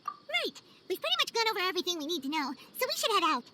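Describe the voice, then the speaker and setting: A woman voicing a small, childlike, excitable fairy companion in a video game, earnest and exclamatory. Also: very high-pitched, quick pace, very noisy. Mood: friendly. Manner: refers to self in third person, exclamatory. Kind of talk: video game cutscene voice acting.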